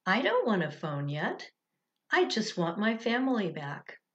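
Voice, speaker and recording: A woman's voice: medium pitch, wildly swooping pitch, measured pace, muffled recording. A woman voicing an insistent, upset young girl in a children's storybook. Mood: earnest.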